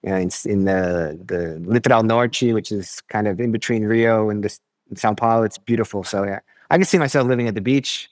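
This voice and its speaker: American guy on a podcast, lowish voice, easygoing, stumbles and repeats words, dry sound. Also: no room sound. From United States. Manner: relaxed, repeats words while thinking. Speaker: podcast guest. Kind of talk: casual interview chat.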